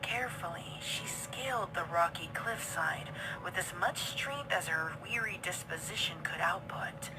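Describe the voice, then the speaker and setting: A woman reading dark fiction as a dramatic narrator, her phrases often falling hushed. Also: lowish voice, low-quality recording. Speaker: story narrator. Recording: very loud storm-like ambience behind.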